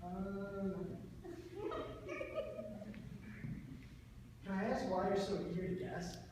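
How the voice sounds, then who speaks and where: A man's voice: sits high, monotone, normal speed, natural voice. A young man playing an amused, teasing rich young man on a date, in an impromptu dramatic play reading. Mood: hesitant. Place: large reverberant room at a live reading event. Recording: echoey hall, distant mic, loud noise.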